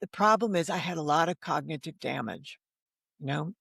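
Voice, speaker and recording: Older woman with a gravelly, creaky voice. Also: deep voice, moderate pace, fairly bright tone, no room sound, clean studio sound. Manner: frank, self-deprecating, wry; quotes dialogue while telling stories. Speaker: podcast guest, novelist. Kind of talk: candid personal storytelling in an interview.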